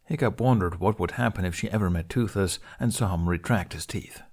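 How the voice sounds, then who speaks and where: A man reading fan fiction aloud as narrator, in an expressive scripted storytelling style. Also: sits low, brisk.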